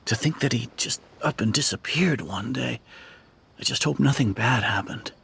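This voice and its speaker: An actor playing an earnest, concerned male villager in a video game, with a husky, gravelly voice. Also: mid-range voice, moderate pace, a bit bright, full character voice. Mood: fond, worried. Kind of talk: scripted video game voice acting.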